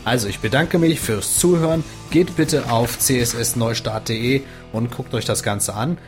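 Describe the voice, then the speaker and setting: Chatty German podcast host. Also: male voice, medium pitch, normal speed, faint music behind. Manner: relaxed, chatty, with filler sounds. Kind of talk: casual tech podcast interview.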